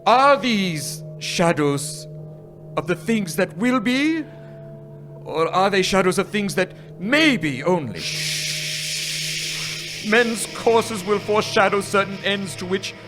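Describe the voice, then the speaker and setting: An actor playing an old miser, pleading desperately and slowly with a ghost in a live radio play. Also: male voice, high voice, animated, fairly bright tone, faint music behind, exaggerated voice. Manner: desperate, pleading, slow and grave.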